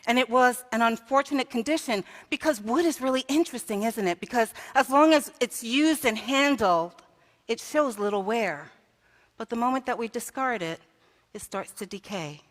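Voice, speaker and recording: A woman's voice: fairly high pitch, expressive, moderate pace. A woman minister preaching a sermon in a church, telling a story with rhetorical questions and building to emphatic peaks. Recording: long hall reverb.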